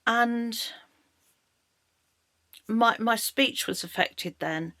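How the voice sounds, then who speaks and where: Croaky middle-aged British woman. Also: a little high, fairly bright tone, no room sound, clean studio sound. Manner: halting, searching for words. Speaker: narrator of her own life story. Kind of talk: personal storytelling monologue.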